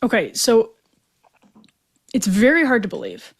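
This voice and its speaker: A woman's voice: on the high side, sing-song, unhurried, husky. An American woman hosting a talk show, giving conversational commentary on the news.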